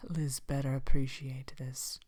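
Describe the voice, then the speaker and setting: A woman playing a vain, haughty evil queen from a fairy tale, speaking softly and often whispering in an ASMR character monologue. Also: very deep voice, flat delivery, unhurried. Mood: weary, superior. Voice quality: soft-spoken, often breaking into whisper. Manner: haughty, languid, dryly wicked. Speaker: character host of an ASMR show.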